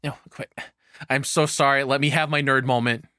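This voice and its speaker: A man's voice: medium pitch, steady tone, moderate pace, bright, forceful tone. A man giving casual reaction commentary as a streamer, rambling and opinionated, with some swearing.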